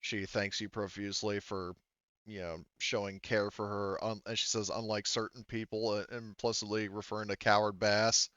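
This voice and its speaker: Low, smooth-voiced man running a tabletop game. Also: flat delivery, moderate pace, sharp tone, no echo, dull audio. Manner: informal, rambling, often swearing. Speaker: game master. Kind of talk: casual narration of a tabletop roleplaying game.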